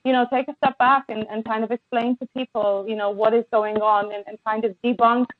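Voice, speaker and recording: Woman, podcast guest, impassioned, stumbling restarts, fairly high voice, tinny call audio. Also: flat delivery, no echo. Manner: halting, many restarts and repeated words. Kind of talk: impassioned conversation. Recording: tinny phone-like line, dry.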